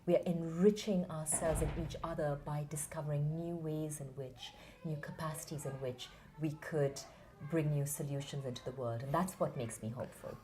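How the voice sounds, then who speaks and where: A woman from India, giving earnest, measured and passionate answers in an interview. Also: sits low, steady tone, mellow, low-quality recording. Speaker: interview guest, founder of a theatre academy.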